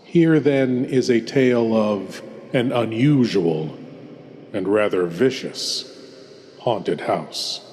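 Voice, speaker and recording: A man playing a theatrical, sinister horror host lord, with grandiose delivery and dramatic pauses. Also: on the low side, darkish tone. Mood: ominous, theatrical. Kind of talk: old-time horror host introduction. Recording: long hall reverb.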